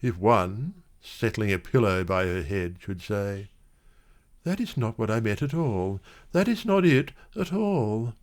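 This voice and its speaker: A man reciting literary verse dramatically, with measured, expressive phrasing. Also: on the low side. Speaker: poetry reader.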